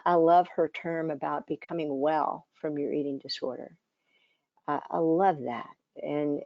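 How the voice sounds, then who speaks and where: An older woman, around seventy, giving a conversational conference presentation as a dietitian, her voice scratchy and hoarse, with many fillers and restarts. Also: low voice, warm tone, dry recording, dull audio.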